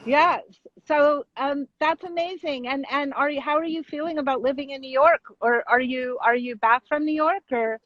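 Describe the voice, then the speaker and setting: A woman's voice: high voice, normal speed, no echo, highs cut off. A woman conducting a casual interview in an effusive, appreciative way.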